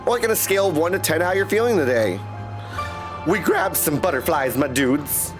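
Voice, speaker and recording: Male streamer, high voice, very animated intonation, friendly casual chat, gameplay livestream. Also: moderate pace. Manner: friendly, chatty, greets viewers by name. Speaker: game streamer. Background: music.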